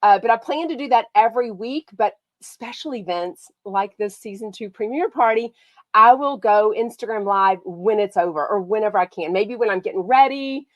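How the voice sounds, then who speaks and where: A woman from Texas, hosting a casual gossip livestream. She has a slight cold that makes her sound nasal, and she talks in a bubbly, excitable way. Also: medium pitch, moderate pace, no room sound. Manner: bubbly and excitable, lots of "like" and "oh my gosh". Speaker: podcast host.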